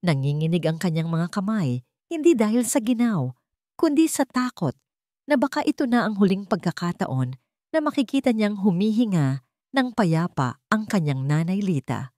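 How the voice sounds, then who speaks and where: Woman narrating drama with animated pitch swings. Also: normal speed, no room sound, clean studio sound. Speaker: story narrator. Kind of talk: dramatic scripted storytelling narration.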